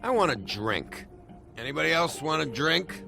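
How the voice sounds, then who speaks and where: Male game role: volatile drunk needler, gravelly and sarcastic, animated pitch, music underneath. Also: normal speed, full character voice. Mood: sardonic, intoxicated. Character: bitter, drunk, foul-mouthed barfly man, needling and volatile. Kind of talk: video game voice acting. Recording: music behind the voice.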